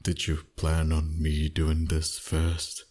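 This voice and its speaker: Guy acting as a dominant teasing vampire boss, asmr roleplay, super breathy n husky, whispery, talks really really slow. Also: rumbling low, monotone, darkish tone, heavily acted. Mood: seductive, coaxing.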